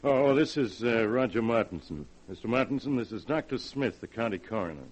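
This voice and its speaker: An actor in a 1940s radio drama playing a businesslike police inspector with an informal American manner. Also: male voice, mid-range voice, expressive, a little fast, natural voice, poor audio. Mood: businesslike, questioning. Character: brisk, plain-spoken police inspector.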